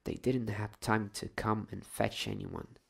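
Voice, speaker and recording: A man's voice: fairly low pitch, fairly even intonation, unhurried, gravelly, slightly rough, exaggerated voice. A man voicing a meek, earnest young schoolgirl in a storybook reading. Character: small-voiced young schoolgirl, earnest and apologetic.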